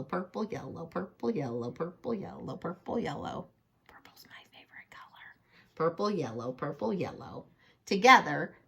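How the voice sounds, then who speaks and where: A woman reading a children's picture book aloud, playful and expressive, with chatty asides and questions to the listener. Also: lowish voice, breathy edge.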